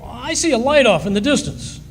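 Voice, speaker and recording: An actor playing a thirsty, worried striking miner in a live radio drama. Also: male voice, extremely high pitch, very animated, brisk. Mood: pleading, urgent. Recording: echoing hall, faintly noisy stage recording.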